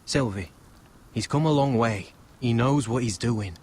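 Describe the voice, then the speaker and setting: A man's voice: mid-range voice, measured pace, darkish tone, slight background noise, lightly acted. A man playing a loyal young wildling brother in a fantasy video game, coaxing and conciliatory. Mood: pleading. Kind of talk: dramatic video game acting.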